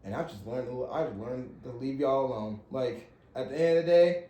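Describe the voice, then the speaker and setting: A man's voice: medium pitch, moderate pace, dark tone, roomy sound. A man in his thirties chatting casually as a podcast co-host, in an earnest, slangy, repetitive way. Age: 30s. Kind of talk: casual chat.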